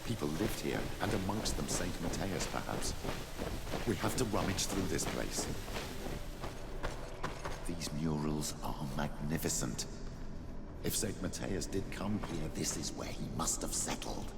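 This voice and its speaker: A devout older clergyman in a fantasy game, with an extremely low, gravelly voice. He speaks in measured, reverent tones full of awe. The sound has long reverb and clear noise behind it. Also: animated, exaggerated voice. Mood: reverent wonder. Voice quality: very deep, gravelly. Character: awed, devout older male clergyman companion. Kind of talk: dramatic video game acting.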